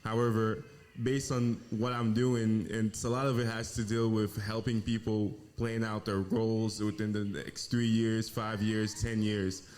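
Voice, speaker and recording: Low, flat-voiced man from Haiti. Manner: earnest, measured, with "uh" fillers. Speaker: guest, financial planner. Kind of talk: casual interview.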